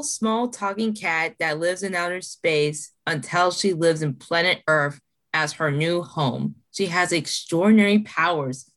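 A woman author reading her own story aloud in a careful, deliberate way. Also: fairly low pitch, a bit bright.

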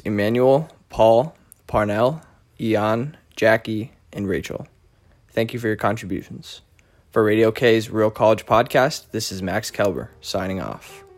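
A man hosting a college radio podcast, closing the show in an upbeat announcer manner. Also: lowish voice. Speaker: podcast host. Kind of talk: show sign-off.

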